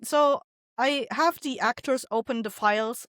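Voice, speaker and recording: A woman with a fairly high voice answers as an interview guest. She explains her directing process in an informal, conversational way. The recording is dry, without room sound. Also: a little slow, a bit bright, no room sound.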